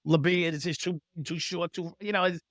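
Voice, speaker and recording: A man's voice: a little high, steady tone, fairly quick, fairly bright tone, no room sound. A man in his sixties co-hosting a podcast, joking and rambling with repeated words. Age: 60s. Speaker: podcast co-host. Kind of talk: casual banter.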